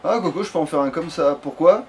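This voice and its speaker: A man's voice: fairly high pitch, quick pace. A man giving casual gaming commentary, muttering to himself hesitantly and sometimes swearing. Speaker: let's play gamer. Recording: steady background noise.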